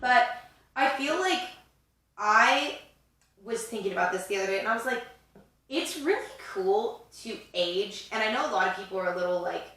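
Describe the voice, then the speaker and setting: A woman's voice: mid-range voice, animated, moderate pace, a bit bright, very echoey, distant mic. A woman in her twenties co-hosting a podcast, chatting in a rambling way with lots of fillers. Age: 20s. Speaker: podcast co-host. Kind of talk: casual chat.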